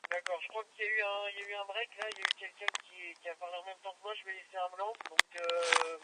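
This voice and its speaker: A man's voice: high-pitched, little pitch movement, quick pace. A man chatting casually as a radio operator, often hesitating and repeating himself. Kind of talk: casual radio relay chat. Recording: noisy two-way radio recording, distant mic.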